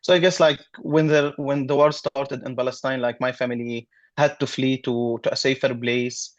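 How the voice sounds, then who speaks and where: A man's voice: mid-range voice, fairly even intonation, normal speed, no room sound. A man with a Syrian Arabic accent speaks as an interview guest, recounting things in a conversational way. Arabic is his first language, and his English comes in short, hesitant pieces. The recording is dry and slightly dull, with little room around the voice. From Damascus, Syria. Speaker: podcast guest, app designer. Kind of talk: conversational personal account.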